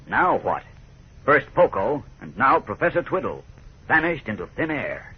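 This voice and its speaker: A man's voice: on the high side, breathy edge. A man with an American accent narrating a 1940s radio serial in a theatrical, suspenseful manner. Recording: muffled vintage radio recording.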